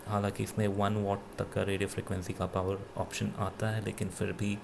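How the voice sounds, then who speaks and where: A man with an Indian accent giving an unscripted gadget review in a halting, self-correcting way, switching between two languages. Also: lowish voice, monotone, creaky, noisy background. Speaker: product reviewer. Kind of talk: unscripted gadget review, mixing Hindi with English.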